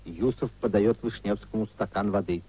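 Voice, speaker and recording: Man, scripted narration, lowish dark voice, stage directions, dull old radio recording. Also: normal speed, husky.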